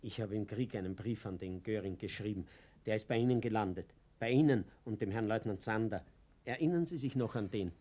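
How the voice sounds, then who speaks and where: A man's voice: on the low side, a little fast, mellow, natural voice. Actor as humble, pleading old man. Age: older. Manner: hesitant, pleading. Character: humble, anxious old man from Vienna pleading to be declared sane. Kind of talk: German radio play acting.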